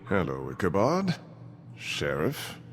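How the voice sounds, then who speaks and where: Condescending thug, very low voice, expressive pitch swings, threatening game dialogue, faint music. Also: male voice, slow, background noise, exaggerated voice. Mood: impatient, scornful. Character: menacing, smooth-mannered, impatient male bully. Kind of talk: video game voice acting.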